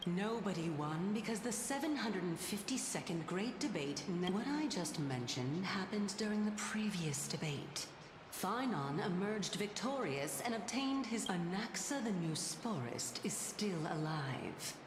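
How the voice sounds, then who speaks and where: A woman's voice: mid-range voice, very animated, unhurried, noisy background. An actress playing an enigmatic, self-assured woman of mystical power in a video game, coy and amused. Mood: coolly assured. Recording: echoing game reverb, music behind.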